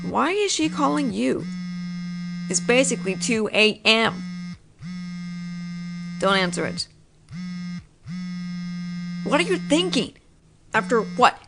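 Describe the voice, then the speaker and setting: A woman's voice: fairly high pitch, sing-song, slow, vocal fry, long pauses. Woman voicing a possessive roommate. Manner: frustrated, insistent, teasing. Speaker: voice actress playing a possessive roommate. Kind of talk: scripted one-sided audio roleplay.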